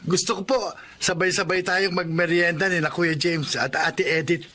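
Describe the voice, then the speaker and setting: An actor playing an eager, devoted young son in a Filipino drama, with a Filipino accent. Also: male voice, high voice, steady tone, moderate pace, sharp tone, slight background noise. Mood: happy, eager. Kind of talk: Filipino radio-style drama acting.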